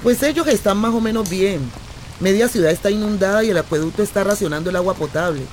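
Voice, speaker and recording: An actress playing a restless, affectionate Colombian traveller in a radio drama, telling things in a playful, expressive, conversational way. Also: female voice, medium pitch, fast, close to an everyday voice, low-quality recording. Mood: chatty, teasing. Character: adventurous, restless, affectionate young Colombian woman stranded while travelling. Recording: remote recording with clear traffic noise.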